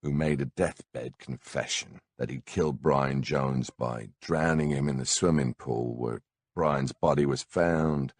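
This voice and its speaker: A man with a gravelly, husky voice narrates a memoir audiobook in a laid-back, blunt, wry, conversational storytelling way on a close microphone. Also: a bass voice, a little slow, dry recording.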